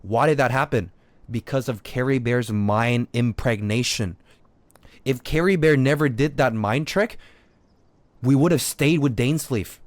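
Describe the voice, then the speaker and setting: Male game streamer, medium pitch, dry sound; argumentative, repetitive, sweary, off-the-cuff commentary. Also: a little slow, no room sound. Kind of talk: unscripted gaming stream commentary.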